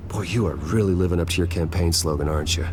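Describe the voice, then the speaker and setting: An actor playing a brooding, determined wealthy vigilante, speaking in a terse, grave, controlled way in a video game drama. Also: male voice, low voice, quick pace, background noise, lightly acted. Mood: tense, reproachful.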